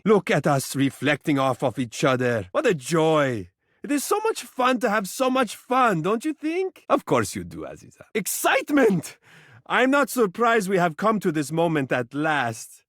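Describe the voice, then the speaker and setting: A man's voice: high voice, fairly bright tone, no echo, studio quality, full character voice. A man voicing a vain, adoring mirror in a video game, speaking in flowery, formal, dramatic phrases. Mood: friendly, playful, then excited, self-admiring. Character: vain, romantic, adoring living mirror, theatrical and tender. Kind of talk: video game character voice acting.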